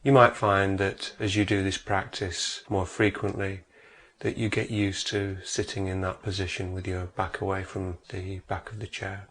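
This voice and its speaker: A man narrating a guided meditation as an instructor, in a measured way with long pauses between phrases. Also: deep voice, little pitch movement, fairly bright tone.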